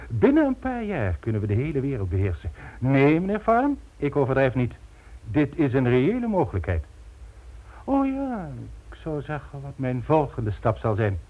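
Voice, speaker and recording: An actor playing a megalomaniac, smugly polite criminal mastermind in a radio drama, making grandiose, condescending speeches. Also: male voice, medium pitch, huge pitch swings, moderate pace. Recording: dull lo-fi old radio recording.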